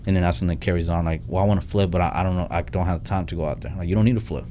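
A man's voice: deep voice, fairly even intonation, rapid-fire, warm tone, a little hiss or hum. A young man talking as a real estate investor guest in a casual interview, blunt and plain-spoken, often restarting his sentences and dropping in "like" and "man.". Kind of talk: casual podcast interview talk.

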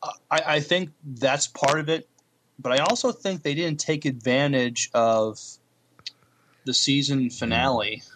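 A man co-hosting a podcast, giving casual television critique in a hesitant, measured manner. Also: medium pitch, a bit bright, a little hiss or hum. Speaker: podcast co-host. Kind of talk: casual TV review chat.

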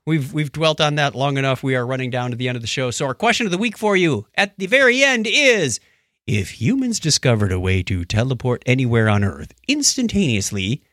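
A man hosting a podcast in casual chat, telling stories in an animated way and acting out what others said. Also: mid-range voice, moderate pace, no room sound, clean studio sound. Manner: animated storytelling, acts out quotes. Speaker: podcast host.

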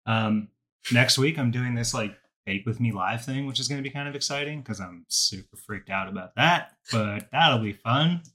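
Bright, forceful-voiced male podcast host. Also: medium pitch, moderate pace. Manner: informal, self-mocking, sweary. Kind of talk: casual, unscripted chat.

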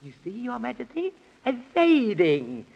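Frantic, high-pitched loony professor. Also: male voice, wildly swooping pitch, a little slow, gravelly, breathy edge, exaggerated voice. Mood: blustering. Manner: stammering, repeating himself, overblown alliterative oratory. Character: frantic, pompous, loony male professor prosecuting in mock court. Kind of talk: 1930s children's radio comedy acting. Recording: muffled vintage 1930s radio recording.

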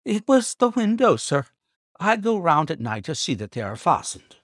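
A man voicing a frightened male butler who pleads in a strained, high voice. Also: big pitch swings, normal speed, a bit bright, dry recording, studio quality, heavily acted.

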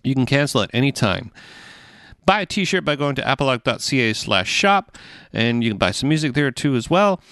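Male podcast host, middle-aged, relaxed and chatty; clear, resonant tone in a dead-dry room. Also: mid-range voice, normal speed, no echo. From England. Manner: chatty, relaxed, many fillers. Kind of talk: casual podcast chat.

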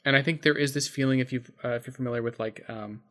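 A man's voice: mid-range voice, steady tone, fast, a bit bright. A man from the United States co-hosting a casual podcast, thinking aloud in a musing way with many fillers. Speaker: podcast co-host.

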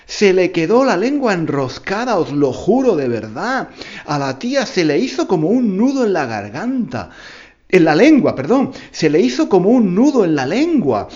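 A man's voice: high-pitched, big pitch swings, normal speed, a little mellow, muffled recording. A man hosting a podcast for language learners, telling a tall tale playfully and repeating phrases for emphasis. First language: Spanish. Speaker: podcast host. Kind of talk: storytelling to learners.

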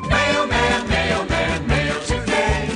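A woman's voice: a little high, big pitch swings, slow pace. A woman singing a jingle. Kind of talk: sung jingle. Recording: very echoey, loud backing music. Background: loud jingle music.

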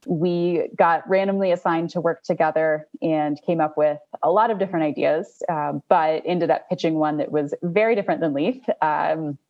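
A young adult woman, a startup co-founder, giving measured explanatory answers in an interview. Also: low voice, lo-fi sound. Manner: measured, explanatory, with small restarts.

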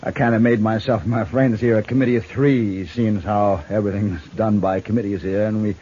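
A man playing a sly, mocking drifter in a 1950s radio Western, folksy and drawling, with a raspy voice. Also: lowish voice, steady tone, brisk, dark tone, slight background noise, close to an everyday voice. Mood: mock-solemn. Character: sly, mocking drifter troublemaker in the Old West. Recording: dull vintage radio recording.

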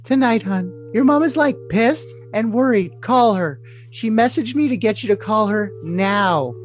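A man's voice: sits high, animated, measured pace. A man voicing a lovesick, scheming young woman in a cutesy, coy, overly dramatic comic reading of messages. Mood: insistent, sweet. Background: music.

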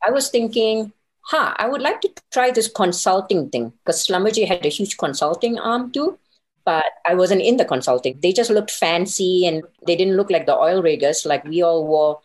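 Female interview guest, middle-aged, low register, conversational storyteller who quotes her own inner thoughts. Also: animated, normal speed. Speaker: interview guest, consultant and business owner.

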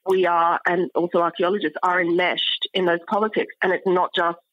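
A middle-aged woman speaking as an academic interview guest, explaining things in an articulate, measured way. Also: fairly low pitch, level tone, no echo, highs cut off. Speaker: interview guest, archaeology professor. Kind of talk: thoughtful academic interview talk.

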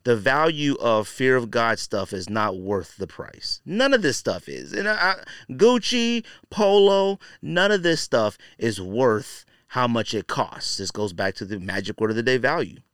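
A man's voice: mid-range voice, very animated, unhurried, few pauses, a bit bright, smooth, no room sound, clean studio sound. A man hosting a podcast, giving casual, opinionated commentary in a conversational, slangy, blunt way with emphatic repetition. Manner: conversational and slangy, frequently saying "you know" and "man". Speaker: podcast host.

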